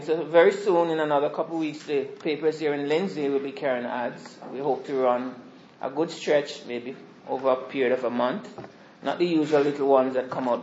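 A soft-spoken man giving a rambling, informal sermon introduction to a small congregation. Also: a little hiss or hum. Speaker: visiting preacher. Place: small church hall. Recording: echoey hall, dull low-fi sound.

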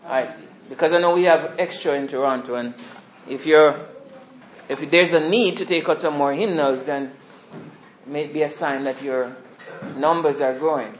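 A soft-spoken man giving a rambling, informal sermon introduction to a small congregation. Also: normal speed, slight background noise. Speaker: visiting preacher. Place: small church hall. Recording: echoey hall, dull low-fi sound.

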